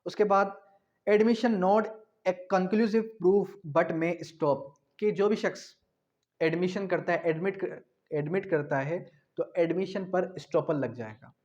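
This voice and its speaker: High-voiced Pakistani man lecturing, dull audio. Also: slow, darkish tone. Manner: didactic, asks questions and answers them himself. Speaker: law lecturer. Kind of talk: law lecture explaining articles clause by clause.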